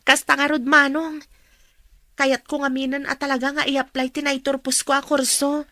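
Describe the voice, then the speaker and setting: An earnest young woman just out of school in a radio drama. Her bright voice has a northern Philippine accent and sounds close and dry. She speaks respectfully and with expression. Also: sits high, level tone, moderate pace, no echo. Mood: hopeful, dutiful. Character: earnest, dutiful young woman graduate, newly qualified teacher.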